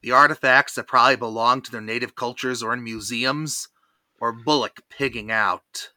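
A podcast host telling a cartoon episode recap in a lively, theatrical storytelling manner. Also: mid-range voice, moderate pace, no room sound.

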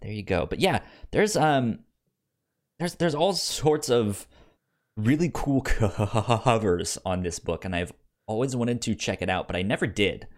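Male podcast co-host, highly animated intonation, casual discussion style, halting delivery with frequent restarts. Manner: halting, repeats words and restarts often.

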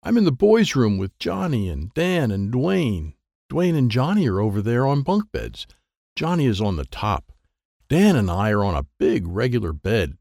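A man's voice: medium pitch, very animated, normal speed, creaky, a little mellow, no room sound, clean studio sound. A man reading a children's audiobook in short, simple, childlike sentences. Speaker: audiobook narrator.

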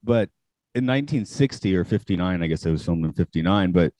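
A man's voice: sits low, animated, a little fast, mellow, no room sound. A man with an American accent hosting a podcast, musing in a laid-back, anecdotal, casual chat. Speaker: podcast host.

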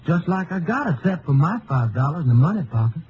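An actor playing a naive, defensive ranch hand with an American West accent, folksy and flustered, in an old radio western. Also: male voice, a little high, sing-song, fast, a little mellow. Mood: nervous, defensive. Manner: folksy drawling, flustered, stammering. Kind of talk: old-time radio western drama acting. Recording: dull, faintly noisy vintage radio.

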